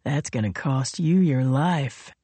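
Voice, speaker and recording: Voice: medium pitch, warm tone, slightly rough, no echo. Sneering male villain played by a narrator for an audiobook thriller: cruel, gloating, mocking, dry close sound. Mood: menacing. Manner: mocking, drawn-out taunts.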